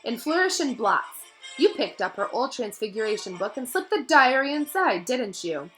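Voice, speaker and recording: A woman's voice: fairly high pitch, very animated, normal speed, a bit bright, faint music behind, voice pushed up, rough recording. A woman voicing an earnest, plucky schoolboy in a direct way. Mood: challenging.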